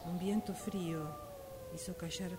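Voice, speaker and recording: High-voiced man narrating over noise. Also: wildly swooping pitch, measured pace. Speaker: radio drama workshop participant. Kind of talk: scripted narration exercise. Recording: clear background noise.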